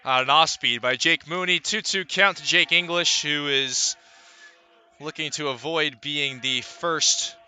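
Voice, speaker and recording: A man giving live radio play-by-play of a baseball game, calling it in measured, deliberate phrases with pauses. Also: fairly high pitch, pushed and bright, smooth. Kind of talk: live radio sports commentary.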